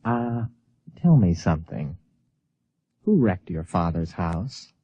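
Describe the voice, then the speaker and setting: A flustered, educated American city man in a radio play. His slightly husky voice swings widely as he stammers and insists, skeptical of everything. The vintage recording sounds dull. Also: low voice, sing-song, takes it slowly, mellow, heavily acted. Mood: probing, incredulous. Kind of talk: radio drama acting.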